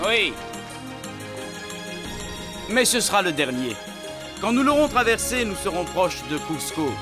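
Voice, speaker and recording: A man playing an earnest, encouraging guide who explains and proclaims things in a French animated series dub. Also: sits high, a little slow, over music. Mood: encouraging, steady. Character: earnest male mountain guide, encouraging and informative.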